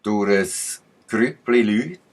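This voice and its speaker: A man's voice: on the low side, takes it slowly. A man with a Swiss German accent giving rambling commentary, emphatic and digressive, with uneven bursts. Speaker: channel commentator.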